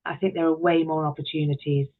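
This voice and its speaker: A woman's voice: deep voice, little pitch movement, quick pace. A middle-aged woman speaking as an interview guest, reflective, articulate and emphatic, on muffled call audio.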